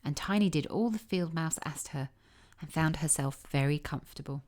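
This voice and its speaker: A woman with a slightly low voice narrates a storybook tale. She reads aloud with care and expression, as a storyteller does. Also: normal speed, a little mellow.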